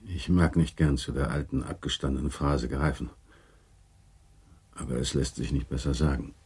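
A man playing a grave, courteous gentleman in a radio drama, speaking in a measured, formal and resigned manner. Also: very deep voice, steady tone, husky, heavily acted. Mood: solemn, reassuring. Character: grave, courteous gentleman, confidant and second in a duel. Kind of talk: German radio drama acting.

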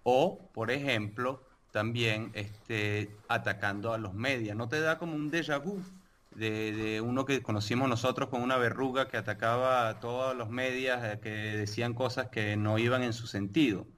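A man whose first language is Italian, debating casually with many pauses, fillers and restarts. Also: mid-range voice, fairly even intonation, fairly bright tone, slight background noise.

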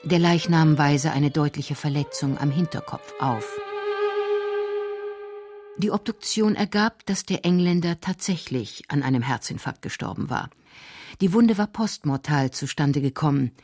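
A woman narrating a scripted radio drama in measured, deliberate phrases. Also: low voice, animated, over music.

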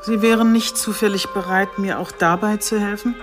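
An actress playing a businesslike police chief inspector in a radio drama, sober and matter-of-fact. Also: female voice, mid-range voice, fairly even intonation, normal speed, over music, natural voice. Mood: focused.

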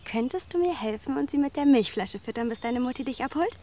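An actress playing a friendly nurse who looks after animals in a radio drama, talking in a coaxing, animated way. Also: female voice, high-pitched, a little fast. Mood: coaxing, amused.

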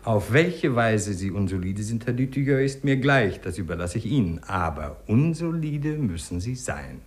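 Casting: male actor as eccentric, confident physician, lowish pitch with very lively swoops, theatrical 1950s radio drama. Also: normal speed, noisy background, close to an everyday voice. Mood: urging, amused. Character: eccentric, brisk, self-assured male doctor. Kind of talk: 1950s German radio play acting.

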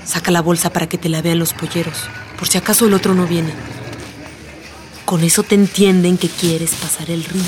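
Over clear background music comes a low female voice with a Mexican accent. She is an actress as a streetwise schoolteacher in a radio play, protective and plain-spoken. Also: steady tone, moderate pace, lightly acted. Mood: matter-of-fact, advising. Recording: music bed behind the voice.

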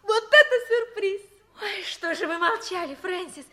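Excitable, very high-voiced girl. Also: unhurried, full character voice. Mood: delighted surprise. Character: excitable, girlish young lady of the household. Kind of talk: 1960s Soviet radio drama acting.

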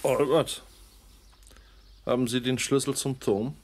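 An actor playing a polite, inquisitive and composed village gentleman in a radio drama, with an assured manner. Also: medium pitch, steady tone, takes it slowly. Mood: genial. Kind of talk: German radio drama acting.

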